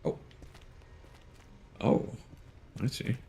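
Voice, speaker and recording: A man's voice: extremely slow, warm tone. A man giving casual gameplay commentary as a streamer, muttering to himself and reading in-game notes aloud.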